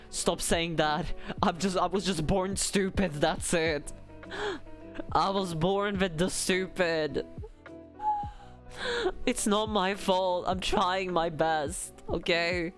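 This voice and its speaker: A man's voice: sits high, a bit bright. Playful young man streaming, music behind. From Czech Republic. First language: Czech. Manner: playful, slangy, rambling with repeated phrases. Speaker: livestreamer, VTuber. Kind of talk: casual chat with stream viewers.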